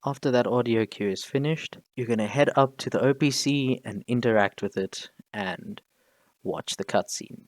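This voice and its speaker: A man's voice: medium pitch, moderate pace, slight background noise. A man giving casual, instructional voice-over commentary for a video game walkthrough, close on the mic. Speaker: gaming tutorial narrator.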